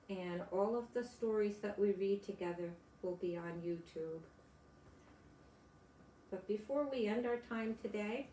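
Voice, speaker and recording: Older woman, unhurried children's read-aloud, gentle simple phrasing, echoey room. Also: medium pitch. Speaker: storytelling grandmother reading picture books to children. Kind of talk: children's read-aloud and chat to young listeners. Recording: echoey room with background noise.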